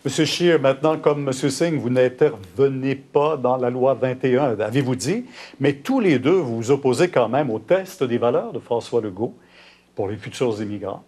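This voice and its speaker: A man moderating a political debate, putting pointed questions briskly to the candidates. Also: mid-range voice, expressive, a little mellow, breathy edge.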